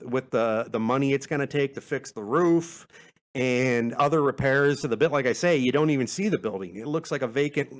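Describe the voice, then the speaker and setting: A man, a podcast host, chatting in a casual, self-deprecating way as he rambles through answers to questions. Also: mid-range voice. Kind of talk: casual rambling Q&A monologue, reading out listener questions.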